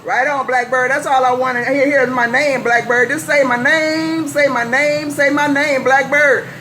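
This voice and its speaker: A woman's voice: high-pitched, steady tone, normal speed. An American woman chatting on CB radio in a playful, boastful way, chanting repeated phrases. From California, US. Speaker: CB radio operator. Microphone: CB radio microphone. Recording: noisy CB radio transmission.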